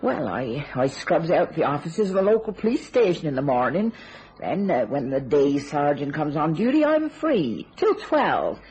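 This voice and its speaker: A woman's voice: low-pitched, big pitch swings, normal speed, darkish tone, slight background noise, low-quality recording. A woman playing a chatty, superstitious, kindly English charwoman in a radio thriller, folksy and ungrammatical, with colourful turns of phrase. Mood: earnest, confiding.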